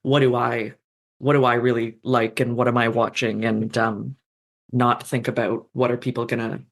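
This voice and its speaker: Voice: medium pitch, flat delivery, moderate pace, creaky. Thoughtful rambling podcast guest. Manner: thoughtful, rambling with restarts and fillers. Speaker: fanfiction author, guest. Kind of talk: casual podcast conversation.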